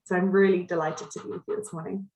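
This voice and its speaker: A woman's voice: on the low side, little pitch movement, fast, darkish tone. Woman, South African accent, upbeat presenter, welcoming, echoey room. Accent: South African (Cape Town). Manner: upbeat, welcoming, frequent ums. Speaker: community educator leading a workshop. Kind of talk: friendly presentation over video call.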